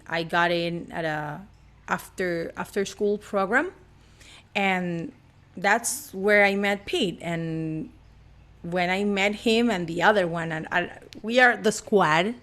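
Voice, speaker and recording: A woman from Argentina with an Argentine Spanish accent, chatting playfully as a podcast guest and laughing often. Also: mid-range voice. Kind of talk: casual chat.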